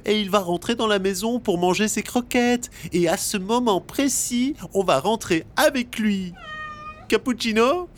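A woman playing a patient, know-it-all gust of wind in an audio fiction, speaking in a measured, didactic way. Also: medium pitch, natural voice. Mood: calm, observant. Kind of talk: French audio fiction acting.

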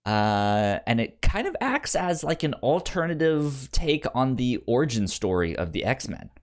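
Male podcast co-host, mid-pitched, chatty and keen, halting delivery with repeated first sounds from a stutter. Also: big pitch swings. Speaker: podcast review show host. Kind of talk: casual podcast chat.

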